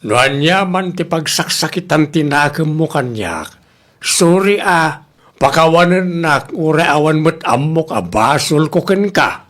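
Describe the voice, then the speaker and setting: A woman's voice: low-pitched, lively intonation, a little slow. A woman acting a teasing, plain-spoken villager in an audio comedy drama. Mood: hurt, pleading.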